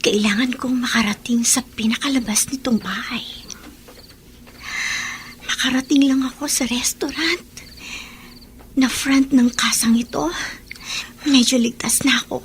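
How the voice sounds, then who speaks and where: A woman's voice: a little high, steady tone, unhurried, long pauses, a little hiss or hum, lightly acted. A woman playing a wary, determined young captive in a Tagalog radio drama. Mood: tense, secretive.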